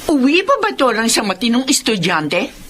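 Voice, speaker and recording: A stern, overworked mother snaps at her grown daughter in a radio drama. Her pitch swings widely as she scolds, sitting a little high. A faint hiss runs under the old recording. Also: sing-song, normal speed. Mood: scolding. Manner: scolding, sharp. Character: strict hardworking single mother. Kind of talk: Filipino radio drama acting.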